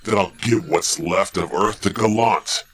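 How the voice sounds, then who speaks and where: A husky, forceful villain count in an audio drama. He is an armored tyrant who barks orders at minions with impatient menace, low and slow. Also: exaggerated voice. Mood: grandiose, menacing.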